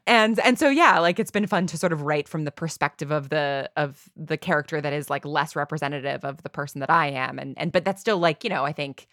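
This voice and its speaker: A woman's voice: low voice, brisk, hardly any pauses, a bit bright, no echo, clean studio sound. An American woman in her thirties from New York, a podcast guest chatting casually in a rambling, self-reflective way. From New York, USA. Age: 30s. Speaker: podcast guest, audio drama writer. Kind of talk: casual interview chat.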